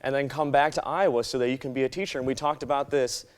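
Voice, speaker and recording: A man's voice: medium pitch, fairly even intonation, fast talker, smooth. A man with a mid-range voice moderates a town hall panel. He asks conversational questions and keeps track of the time.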